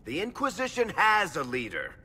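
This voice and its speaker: An actor playing a wry, loyal dwarf storyteller burdened by guilt in a video game, speaking in an informal, sardonic, emphatic manner. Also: male voice, sits high, wildly swooping pitch, normal speed, sharp tone, breathy edge, heavily acted. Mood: defensive, angry. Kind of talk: video game cutscene acting.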